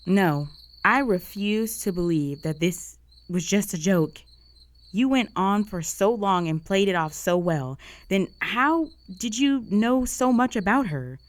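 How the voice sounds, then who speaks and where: A woman's voice: medium pitch, animated, takes it slowly, no echo. A young woman playing a jealous, flustered roommate with a secret crush in an intimate romantic audio roleplay, speaking in a hesitant, conversational way. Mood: disbelieving, flustered. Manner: conversational, hesitant, sighing, emotionally exposed.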